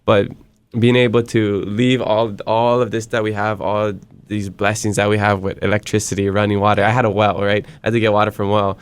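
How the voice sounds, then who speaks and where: A man's voice: on the low side, flat delivery, a little fast, smooth. A young man from Hawaii hosting a casual interview, chatty and easygoing, telling stories with frequent laughs. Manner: easygoing, chatty storyteller who laughs often. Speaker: podcast host.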